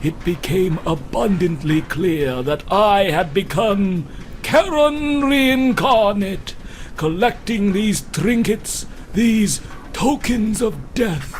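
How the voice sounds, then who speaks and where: Morbid game courier who thinks he's death, high voice, real slow, fancy old-timey dramatic talk. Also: lively intonation, soft background music. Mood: morbid, grandiose. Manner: theatrical, archaic, florid and ominous. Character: grandiose morbid wasteland courier who believes he is death's ferryman. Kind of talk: dramatic video game monologue.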